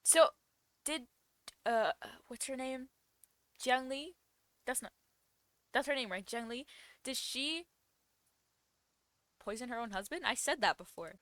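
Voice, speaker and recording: Casting: female gaming creator, slightly high bright voice, unhurried casual slangy delivery, close and dry. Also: lively intonation, dry recording. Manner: giggly, playful, slangy. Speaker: gaming content creator reacting to a story quest. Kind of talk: casual playthrough commentary.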